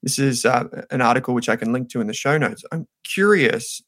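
A man's voice: medium pitch, fairly quick, fairly bright tone, dry recording, studio quality. A man hosting an investing podcast, asking questions politely with a few hesitant "uh"s. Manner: curious, polite, slightly hesitant with "uh"s. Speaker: podcast host. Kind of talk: podcast interview.

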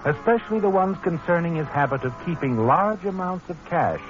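Sly radio mystery narrator, dramatic swooping delivery by an actor. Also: male voice, a little high, expressive, fairly quick, lightly acted. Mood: sly, knowing. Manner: insinuating, dramatic, polished. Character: knowing, sardonic male narrator addressing the schemer he watches. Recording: muffled old radio, music behind.

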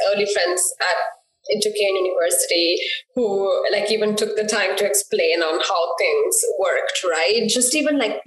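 A woman from Sri Lanka in her thirties, a guest telling her story in a casual interview with lively quoted asides. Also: medium pitch, roomy sound, low-quality recording.

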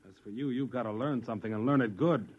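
A man's voice: mid-range voice, fast, husky, phone-quality sound, lightly acted. An actor in an old radio drama playing a bullying, bigoted male colonist with a menacing, contemptuous manner, on an old recording. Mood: angry.

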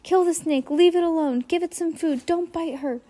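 A flustered middle-aged banker shrieks and babbles in a storybook reading, as a woman reader puts him on. His voice jumps way up into a thin, squeaky register, loud and tumbling over itself. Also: moderate pace. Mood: panicked babbling. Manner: panicked high-pitched babbling.

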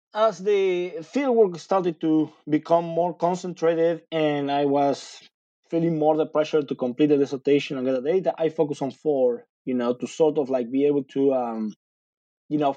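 Guy, kinda high voice, mellow, restarts a lot. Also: dry recording, dull audio. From Argentina. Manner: rambling, many fillers and restarts. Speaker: guest author, sociologist. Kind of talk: casual interview talk.

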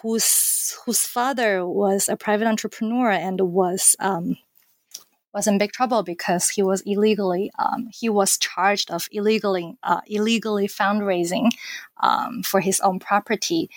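A woman's voice: mid-range voice, steady tone, unhurried, no room sound. Woman from China, academic guest, thoughtful with fillers, dry close sound. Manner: thoughtful, many fillers and restarts. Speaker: author and political scientist. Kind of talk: academic interview answer.

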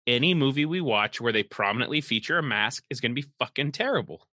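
A man's voice: medium pitch, expressive, fast, pushed and bright, no echo, studio quality. A man co-hosting a podcast, joking sarcastically and rambling as he picks apart a movie poster. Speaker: podcast co-host. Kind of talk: casual comedic chat reviewing a movie poster.

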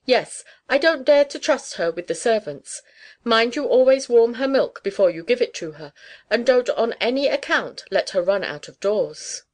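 A woman voicing a domineering, brisk older society aunt in a storybook, with an imperious, emphatic manner. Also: a little high, lively intonation, darkish tone, voice pushed up, lightly acted. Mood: bossy. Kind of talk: audiobook character dialogue.

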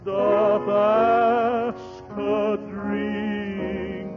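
A woman singing a slow classical song on a vintage radio broadcast. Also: medium pitch, flat delivery. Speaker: singer. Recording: dull vintage radio recording. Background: loud music.

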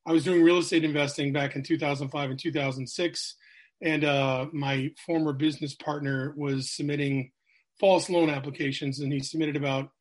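A man's voice: a little high, steady tone, moderate pace. A middle-aged man, a sales coach telling his life story as a podcast guest, candid and rambling with many fillers. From New Hampshire, USA.

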